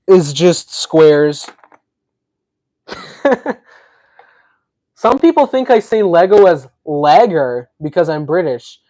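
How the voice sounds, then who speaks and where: A man's voice: a little high, expressive, takes it slowly, long pauses, warm tone, dry recording. A man, a native Russian speaker with a slight Russian accent, hosting a casual livestream. He chats while building, laughs often and answers viewers' comments. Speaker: livestream host.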